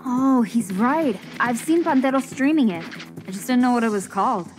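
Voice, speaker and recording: A woman's voice: fairly high pitch, animated, moderate pace, soft background music, lightly acted, low-quality recording. An actress playing an enthusiastic young woman with a breezy, assured way of talking in a conversational video game scene.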